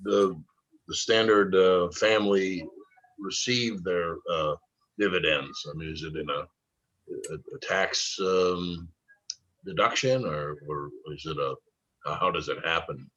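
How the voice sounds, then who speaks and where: A man with a very deep, husky voice asks questions slowly and hesitantly, with many stops and fillers. His audio sounds dull and far off, like a remote meeting connection. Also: a bit bright. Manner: hesitant, full of fillers and restarts. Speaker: board member asking questions.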